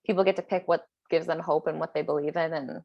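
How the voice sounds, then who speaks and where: A woman's voice: low-pitched, fairly even intonation, fast, gravelly, no room sound, highs cut off. Woman podcast host, close dry sound. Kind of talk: casual podcast chat, sometimes reading aloud.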